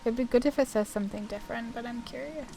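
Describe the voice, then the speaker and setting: A woman's voice: on the high side, quick pace, creaky, mellow, a little hiss or hum. A woman doing casual video game playthrough commentary, chatty and self-deprecating as she thinks aloud. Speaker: gaming YouTuber.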